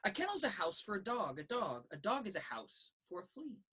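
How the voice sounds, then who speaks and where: A woman reading a children's picture book aloud in a sing-song, rhythmic way, with a slightly husky voice. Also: mid-range voice, sing-song, fairly quick, sharp tone, a little hiss or hum. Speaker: story reader. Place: indoors in a children's library.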